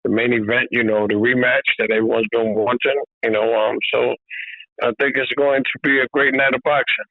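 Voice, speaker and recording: Boxing trainer guest on a remote call, easygoing, loose "you know" phrasing, thin phone-line sound, dry. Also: male voice, medium pitch, normal speed, no echo. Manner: relaxed, repeats "you know" often. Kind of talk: casual remote interview. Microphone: video call. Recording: tinny phone-like call audio.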